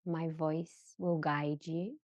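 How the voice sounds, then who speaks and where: A woman guiding a yoga nidra relaxation in a gentle, measured manner, leaving long pauses between short phrases. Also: low voice, fairly even intonation, dry recording, muffled recording. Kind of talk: slow guided relaxation meditation.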